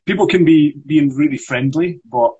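A man's voice: on the high side, normal speed, darkish tone, husky, lo-fi sound. A young Scottish man on a live stream, encouraging and explaining pronunciation step by step. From Scotland. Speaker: Scottish actor teaching accent. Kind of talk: casual live-stream chat. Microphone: phone or webcam on a live stream.

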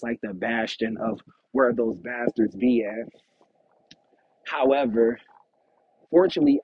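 A man in his thirties hosting his own podcast, talking candidly and casually, with animated quotes of himself. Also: mid-range voice, breathy edge, no echo. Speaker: podcast host. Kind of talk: casual solo podcast monologue. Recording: tinny phone-like audio, dry.

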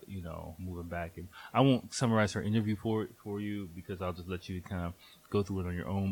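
Male podcast host, thirties, very deep voice, rambling and loose, swears now and then. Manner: rambling, loose, sprinkled with fillers and mild swearing. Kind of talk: casual solo podcast chat. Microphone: headphones on, home podcast mic.

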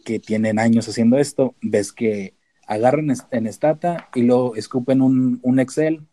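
A man's voice: mid-range voice, moderate pace, warm tone. A man from Tijuana with a northern Mexican accent, talking casually as an interview guest and explaining things informally, with some English terms mixed in. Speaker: podcast guest, data analyst and researcher.

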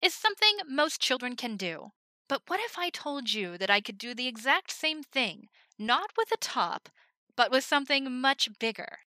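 A woman voicing an exuberant young woman soldier who plays a booming showman for a crowd. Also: big pitch swings, normal speed, bright, forceful tone, no room sound, full character voice. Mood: showy. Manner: booming, theatrical barker delivery.

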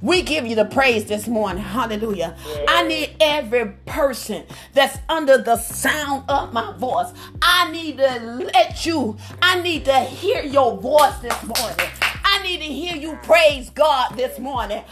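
A woman's voice: high voice, lively intonation, measured pace, fairly bright tone, soft background music. A woman preacher leading a phone prayer line in a fervent charismatic style, exclaiming praise and interjecting often. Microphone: phone call on a prayer line.

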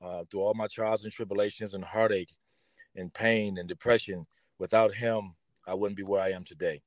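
A middle-aged American man with a fairly low voice talks off the cuff as a guest. His delivery is informal and heartfelt, and the sound is dry with little room around it. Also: fairly even intonation, normal speed, no room sound. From Pontiac, Michigan, United States. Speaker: podcast guest, performing artist. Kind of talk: casual conversational interview talk.

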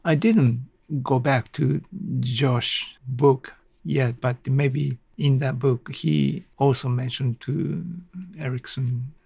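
Male solo podcaster, gravelly and dry-sounding, hesitant off-the-cuff rambling. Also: mid-range voice, fairly even intonation, a little mellow, no room sound. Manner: hesitant, rambling, halting with small self-deprecating chuckles. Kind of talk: casual unscripted monologue.

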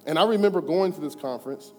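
A man in his thirties shares his testimony in a church hall, his slightly high voice ringing with long reverb. Soft music plays faintly behind him. He talks in an earnest, conversational way that swings from hushed to emphatic. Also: quick pace. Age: 30s. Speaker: church speaker giving testimony. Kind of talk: personal testimony.

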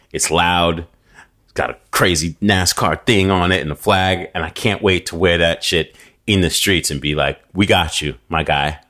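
A man with a very deep, low voice talks casually and animatedly on a podcast. He grew up in New York, and he tells stories with plenty of restarts. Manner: animated storytelling, frequent restarts and fillers. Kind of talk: casual conversation.

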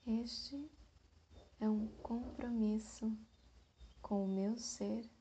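A woman leads a guided meditation in a soft, breathy voice, speaking in short phrases with long pauses. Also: on the high side, fairly even intonation, slight background noise.

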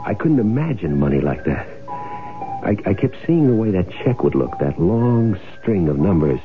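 A low-voiced, hard-boiled crook. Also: male voice, big pitch swings, normal speed. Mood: scheming, eager. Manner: hard-boiled, bossy, slangy. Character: crooked, greedy, impatient man hiding out after faking his death. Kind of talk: old-time radio drama acting with first-person narration. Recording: muffled, narrow-band old radio broadcast with music.

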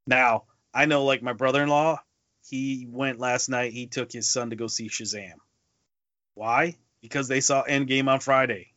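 A man's voice: medium pitch, steady tone, normal speed, bright, forceful tone, no echo. Guy on a movie podcast, muffled lo-fi dry sound, hammers his points, repeats stuff. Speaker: podcast co-host. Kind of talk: casual movie chat. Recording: dull lo-fi podcast audio.

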